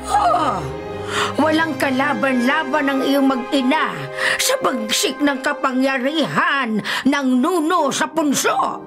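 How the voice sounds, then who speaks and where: A woman's voice: sits high, takes it slowly. A woman playing an anxious older village folk healer, urgent and foreboding, in a Filipino radio drama. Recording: dramatic music under the voice.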